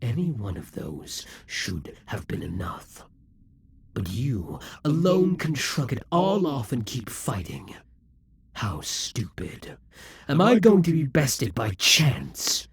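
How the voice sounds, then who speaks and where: A man voicing a proud, vengeful male demon king centuries old, speaking slowly and theatrically in an audio roleplay, with hissing whispers at times. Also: mid-range voice, wildly swooping pitch, heavily acted. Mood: incredulous. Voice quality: very husky, breathy.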